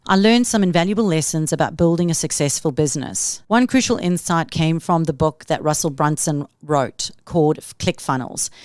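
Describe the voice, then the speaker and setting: A woman's voice: lowish voice, smooth, no room sound, studio quality. A middle-aged woman with a South African accent, hosting a solo podcast in an upbeat, motivational tone. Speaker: podcast host.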